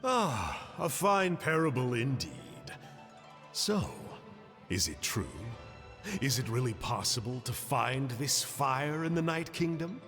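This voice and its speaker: An actor playing a slick, teasing outsider uncle in a video game, with a playful, theatrical, wheedling manner. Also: male voice, medium pitch, very animated, slow, fairly bright tone, big-room sound, faint music behind, exaggerated voice. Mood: wry, curious. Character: slick, teasing bespectacled outsider man, called uncle by kids. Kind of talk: English video game voice acting.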